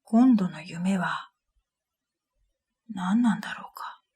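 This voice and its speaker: A woman playing a pensive dream reader, narrating her own story in a quiet, measured radio drama style. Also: lowish voice, full character voice. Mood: pensive, calm. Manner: quiet, measured, pensive, trailing into breathy phrase ends. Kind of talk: scripted first-person radio drama narration.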